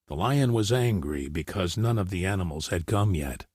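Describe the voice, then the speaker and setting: A man's voice: low-pitched, expressive, normal speed, darkish tone, breathy edge, no room sound, studio quality. A man narrating scripted fairy tales for children as a storyteller.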